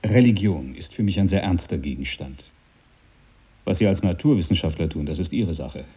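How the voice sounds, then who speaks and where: An actor playing a formal, earnest, devout aristocratic sea captain in a radio play. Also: male voice, sits low, animated, normal speed, mellow, slightly rough.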